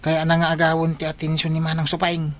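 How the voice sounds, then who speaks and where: A woman's voice: deep voice, completely flat delivery, fast, close to an everyday voice. A woman acting an inquisitive, prodding village woman in an Ilocano comedy radio drama, conversational and questioning, with an Ilocano accent. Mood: gossipy.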